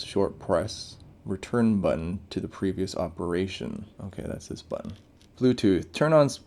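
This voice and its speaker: A man's voice: on the low side, a little mellow. A man giving casual, off-the-cuff unboxing commentary as a tech reviewer, laid-back and informal with plenty of slang.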